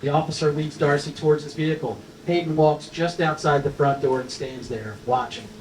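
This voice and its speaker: A man's voice: fairly high pitch, fairly even intonation, brisk, a little mellow. A man reading stage directions as scripted narration at a screenplay table read. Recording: roomy, distant mic, faint noise.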